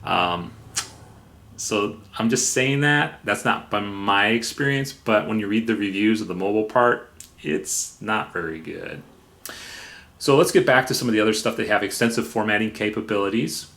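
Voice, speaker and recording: A man hosting a software review in a casual, informal way, somewhat halting, with playful repetition for emphasis. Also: on the low side. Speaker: software reviewer and video host.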